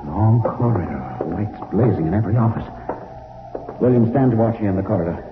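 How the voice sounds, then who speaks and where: An actor playing a brisk, wary male spaceship captain in a radio drama, giving clipped, authoritative orders. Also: on the low side, natural voice. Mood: commanding. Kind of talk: old-time radio drama acting. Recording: tinny noisy old radio, roomy echo.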